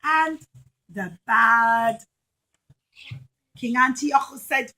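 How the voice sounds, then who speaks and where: A woman's voice: fairly high pitch, extremely slow, bright, forceful tone. A woman telling a story to young children in simple, repeated phrases, often putting questions to her listeners.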